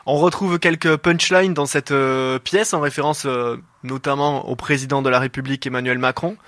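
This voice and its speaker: A man's voice: medium pitch, steady tone, normal speed, a bit bright, no echo, studio quality. A male radio host introducing a guest and asking questions, partly read from a script, partly with hesitant fillers. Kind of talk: radio interview. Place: radio studio.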